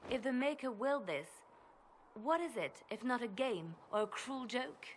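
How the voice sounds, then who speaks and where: A woman's voice: on the high side, animated, moderate pace, lightly acted. An actress playing a bitter, devout woman, intense and questioning, in a fantasy game. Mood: bitter, anguished. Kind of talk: dramatic fantasy game acting. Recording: faint background noise and ambience.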